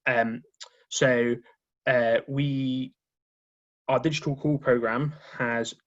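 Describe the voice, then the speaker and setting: A man, a union marketing officer, giving a presentation on a conference call in a halting way, with many restarts and repeated words. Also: medium pitch, fairly even intonation, highs cut off. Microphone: remote call audio.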